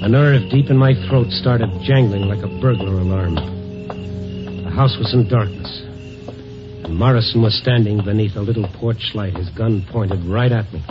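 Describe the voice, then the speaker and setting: An actor playing a wry, wisecracking hard-boiled reporter who also narrates a 1950s radio drama, heard on a dull old broadcast recording with music behind. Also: male voice, on the low side, measured pace, lightly acted. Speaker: narrator and lead.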